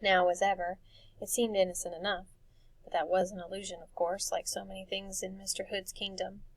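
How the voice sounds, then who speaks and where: A woman reading a dark fantasy story for children as expressive scripted narration. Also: mid-range voice, fairly quick, no echo. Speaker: audiobook narrator.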